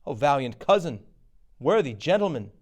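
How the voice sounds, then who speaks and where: A man's voice: medium pitch, big pitch swings, normal speed. Man playing a regal, commanding king. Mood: admiring. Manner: regal, emphatic. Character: noble, commanding old Scottish king.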